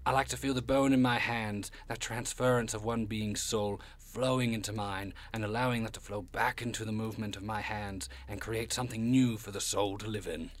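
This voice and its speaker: A man's voice: lowish voice, fairly even intonation, moderate pace, few pauses, lightly acted. A man playing a proud, refined old monster in an audio drama, speaking formally and with condescension. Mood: savouring, macabre. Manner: formal, condescending, stern when offended, savours words. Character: proud, refined old cryptid creature, macabre bone-carving artist.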